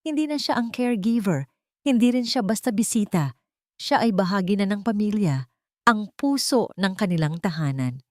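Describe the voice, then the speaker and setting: A woman's voice: medium pitch, expressive, normal speed, darkish tone, dry recording, clean studio sound. A woman narrating a scripted dramatic audiobook story.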